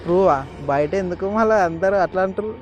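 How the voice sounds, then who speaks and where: A man's voice: high-pitched, fast, slight background noise. A man making a teasing prank phone call. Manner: teasing, cheeky. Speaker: prank caller.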